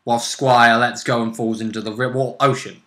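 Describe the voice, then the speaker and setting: A man's voice: mid-range voice, level tone, fairly quick, a bit bright. A man chatting casually as a player, chiming in to recap a tabletop role-play game. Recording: roomy, echoing home recording.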